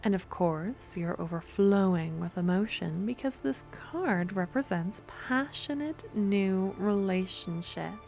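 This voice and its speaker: A woman playing a devoted, possessive young necromancer stalker in an ASMR roleplay, speaking softly and breathily with gentle, sweetly menacing coaxing. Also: mid-range voice, animated, faint music behind. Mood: tender, curious. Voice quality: soft, often breathy and whispery. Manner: slow, cooing, gentle coaxing. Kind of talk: ASMR audio roleplay, close and intimate.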